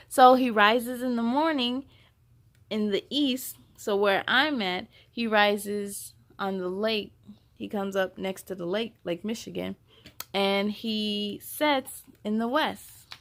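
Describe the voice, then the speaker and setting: A woman with a high voice hosts a story time for children. She reads slowly, in an expressive, sing-song way. Between passages she chats directly to her young listeners. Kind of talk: animated children's read-aloud with chatty asides.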